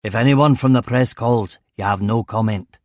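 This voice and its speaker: Man playing a gruff, gravelly Glaswegian police chief. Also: medium pitch, normal speed, a little mellow, no echo, clean studio sound, natural voice. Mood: curt, commanding. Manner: blunt, authoritative. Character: burly, angry older police chief superintendent, commanding but protective. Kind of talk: audiobook character dialogue.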